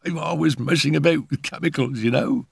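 A man's voice: a little high, brisk, vocal fry, husky, dry recording, studio quality, voice pushed up, full character voice. A man voicing an awkward, eager teenage boy in a storybook reading, stammering a little with a rueful edge. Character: awkward eager teenage lad, keen on chemistry.

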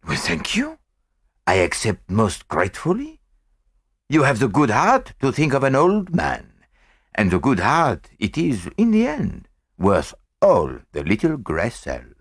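A man's voice: lowish voice, very animated, normal speed, breathy edge, dry recording, clean studio sound. A man voicing a fussy, courteous elderly Belgian detective with precise, mannered foreign phrasing, in an audiobook. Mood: genial. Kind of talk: audiobook character voice.

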